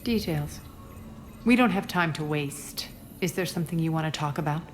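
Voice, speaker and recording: A woman's voice: sits low, normal speed, music in the background, lightly acted. A woman playing a ruthless, haughty alien crime queen in a video game, speaking with cool deliberation. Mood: menacing confidence.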